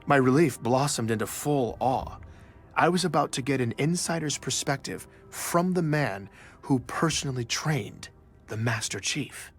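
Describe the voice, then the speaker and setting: An eager investigative journalist telling his story in an audio drama. His mid-pitched, husky voice is earnest and dramatic, with music clearly underneath. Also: lively intonation, measured pace. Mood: relieved, awed. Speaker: narrator, journalist. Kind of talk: scripted first-person audio drama narration.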